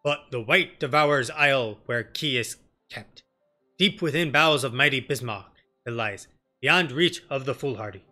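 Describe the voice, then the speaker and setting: A man's voice: mid-range voice, moderate pace, pushed and bright, faint music behind. A man voicing a grave, ceremonious beastman chief who speaks in stately, poetic riddles in a fantasy game. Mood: ominous warning.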